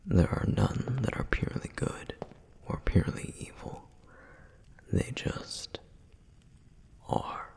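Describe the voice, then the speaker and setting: A man voicing a protective, reverent flame demon in an ASMR roleplay, speaking in a breathy, husky whisper with measured, gentle explanations and frequent pauses. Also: mid-range voice, exaggerated voice. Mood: solemn, reverent. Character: male flame demon, protective, reverent, softly flirtatious lore guide. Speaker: audio roleplay performer. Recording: long reverberant hall echo.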